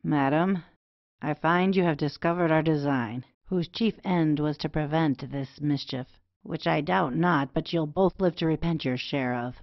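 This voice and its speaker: Woman as aggrieved period gentleman, low, slow, formal, bitter, melancholy, dull dry recording. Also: no echo. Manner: formal, measured. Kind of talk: Restoration comedy audio play acting.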